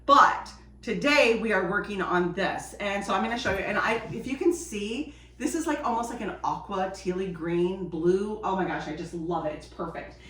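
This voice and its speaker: A woman's voice: mid-range voice, bright, forceful tone. Chatty woman crafting, echoey room. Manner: chatty, enthusiastic, addresses viewers as friends. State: sniffly from a cold. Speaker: DIY vlogger. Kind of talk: casual how-to chat while crafting. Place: home decorating space, indoors. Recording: roomy echo, distant from mic, faint noise.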